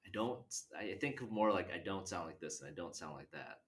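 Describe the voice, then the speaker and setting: A man from Canada answering interview questions in a halting, thoughtful way. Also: deep voice, lively intonation, fairly bright tone. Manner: halting, thoughtful, many restarts.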